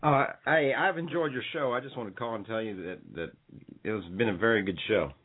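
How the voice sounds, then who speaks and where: A man's voice: medium pitch, a little fast, husky. A man calling in to a radio show, speaking politely and deferentially with some hesitation. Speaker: caller. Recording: tinny phone line.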